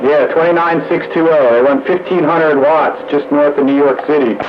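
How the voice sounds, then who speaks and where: A man's voice: a little high, fairly even intonation, moderate pace. A man chatting casually as a regular on an amateur radio net, heard over a two-way radio. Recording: noisy, muffled two-way radio.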